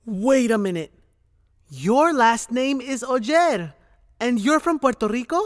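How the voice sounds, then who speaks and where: A chatty young adventurer kid, in an audio drama. The voice is high and swings widely in pitch, with slangy exclamations. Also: female voice, lively intonation, slow. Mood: awkward, excited.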